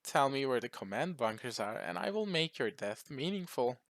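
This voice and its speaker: A man's voice: medium pitch, sing-song, normal speed, fairly bright tone. A man performs a sadistic alien war champion for an audio story. He is haughty and menacing, addressing his victims with mock politeness. The sound is dry and free of room echo. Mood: haughty gloating.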